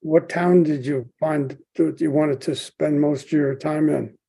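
A male interviewer with a very dark, mellow tone and a gravelly, husky texture. He asks his questions haltingly, pausing often with "um.". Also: fairly high pitch, level tone. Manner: hesitant, halting questions with many "um"s. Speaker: podcast host, interviewer.

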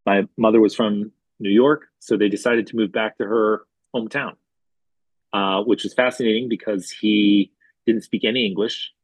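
Relaxed, husky-voiced man telling his story. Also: lowish voice, normal speed, no room sound, low-quality recording. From Hawaii. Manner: relaxed, chatty, with fillers and restarts. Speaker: guest, restaurant executive. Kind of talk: conversational storytelling.

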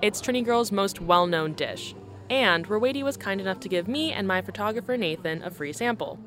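A woman's voice: mid-range voice, animated, fairly quick, a bit bright, faint music behind. A woman reporter reading scripted narration in an upbeat way.

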